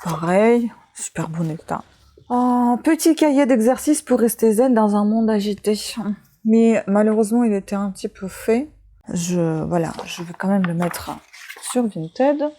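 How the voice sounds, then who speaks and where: A woman's voice: mid-range voice, expressive, unhurried, dark tone. A woman in her forties with a foreign accent in French, a vlogger chatting casually as she reads out book titles and adds asides. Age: 40s. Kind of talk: casual chat.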